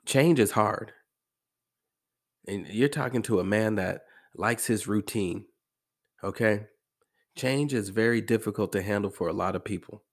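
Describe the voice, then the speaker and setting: An American man hosting a podcast, talking casually and repeating phrases for emphasis, with a congested, slightly hoarse voice from allergies. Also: on the low side, measured pace, long pauses. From American Midwest. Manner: conversational, emphatic repetition. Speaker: podcast host. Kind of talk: casual motivational monologue.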